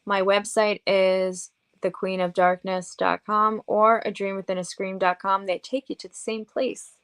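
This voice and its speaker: Long island lady, medium pitch, chatty and kinda goofy, pokes fun at herself, casual guest chat. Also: flat delivery, unhurried, clear, resonant tone. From Long Island, New York. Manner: playful, self-deprecating, chatty. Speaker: podcast guest, model and horror hostess.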